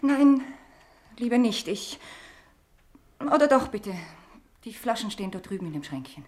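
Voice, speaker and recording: A woman's voice: a little high, big pitch swings, a little slow, a little mellow. An actress playing a shaken, reserved woman who speaks hesitantly and guardedly in a German radio crime drama. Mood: shaken, polite.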